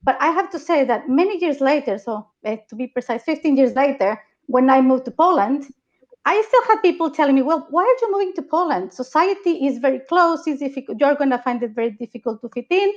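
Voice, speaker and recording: A woman's voice: high voice, expressive, fairly quick, dull audio. A woman from Mexico speaking earnestly on a panel, often repeating words and adding "right?". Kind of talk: panel discussion.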